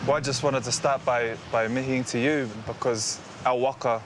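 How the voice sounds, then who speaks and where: A man's voice: mid-range voice, fairly even intonation, normal speed, background noise. A man with a Māori New Zealand English accent, earnestly telling history with small hesitations. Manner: earnest storytelling, slight hesitations. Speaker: guest speaker sharing history.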